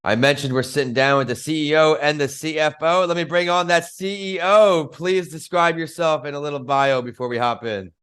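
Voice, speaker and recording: Smooth-voiced podcast host. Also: male voice, a little high, fairly even intonation, brisk, hardly any pauses, a bit bright. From northern United States. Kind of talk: podcast interview hosting.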